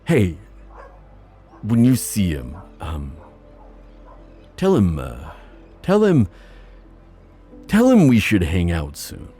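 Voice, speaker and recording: Guy playing a proud fatherly sea god, really low voice, slow n musing, fantasy audio roleplay. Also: wildly swooping pitch, mellow, breathy edge, faint music behind, exaggerated voice. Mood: sober, reflective. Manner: halting, musing, drawn-out delivery with sighs. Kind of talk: fantasy ASMR roleplay acting.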